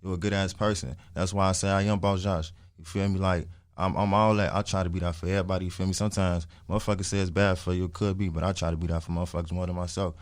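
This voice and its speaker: Deep-voiced young man, emphatic. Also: little pitch movement, fast talker, few pauses, no room sound, studio quality. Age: early twenties. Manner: emphatic, repeats phrases, frequent swearing. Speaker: interview guest, music artist. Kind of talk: casual storytelling interview with heavy slang.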